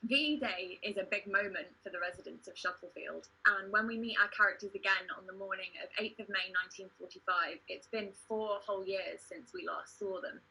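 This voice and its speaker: Very bright, husky woman presenting. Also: medium pitch, brisk, few pauses, low-quality recording. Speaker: livestream host, playwright and actor. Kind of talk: casual presenting to camera.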